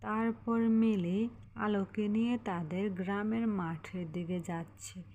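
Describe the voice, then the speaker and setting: Slow-paced woman narrating a cartoon story. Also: mid-range voice, fairly even intonation, dark tone, highs cut off. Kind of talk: scripted children's story narration. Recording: faint background noise.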